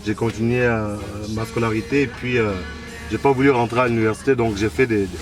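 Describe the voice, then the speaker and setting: A man's voice: medium pitch, fairly even intonation, brisk, recorded from a distance, over music. A man from Côte d'Ivoire, speaking casually in an interview and often repeating words as he searches for them. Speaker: restaurant owner being interviewed.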